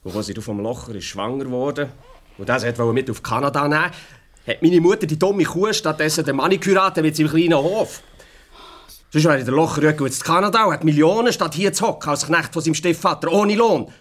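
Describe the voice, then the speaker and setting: An actor playing a bitter, greedy farmhand and stepson in a radio play, blunt, with a Swiss German accent. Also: male voice, on the high side, brisk, lightly acted. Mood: bitter, defiant. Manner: blunt, resentful.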